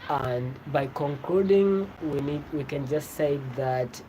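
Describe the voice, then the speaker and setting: Vlogger, Tanzanian accent, native Swahili speaker, mid-pitched voice, halting informal explainer delivery, noticeable ambient noise behind. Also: mellow, poor audio. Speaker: travel vlogger. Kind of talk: informal explanatory vlog talk. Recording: clear background ambient noise.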